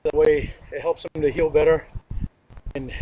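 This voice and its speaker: A man giving slow, repetitive workout instructions and counting out reps, sniffling a little. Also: on the high side, little pitch movement, warm tone, a little hiss or hum, low-quality recording. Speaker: amateur workout video presenter. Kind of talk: homemade workout demonstration.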